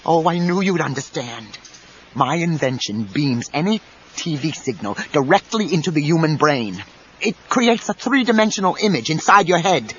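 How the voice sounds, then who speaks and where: Guy doing obsessive geeky inventor, jumpy pitch, grandiose, dull tape with slight hiss. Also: moderate pace, close to an everyday voice. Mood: fawning excitement. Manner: over-excited, ingratiating, grandiose. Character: eager, obsessive, fawning male inventor, nursing resentment. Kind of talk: 1990s audio drama acting. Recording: dull cassette, faint noise.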